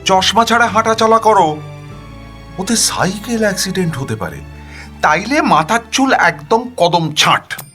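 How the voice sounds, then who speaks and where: Man voicing a shrill, scolding working-class wife. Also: lively intonation, unhurried, bright, forceful tone, faint music behind, heavily acted. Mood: brusque, scolding. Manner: scolding, curt, emphatic. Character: sharp-tongued, bossy working-class wife, hard-pressed and impatient. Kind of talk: audio story character acting.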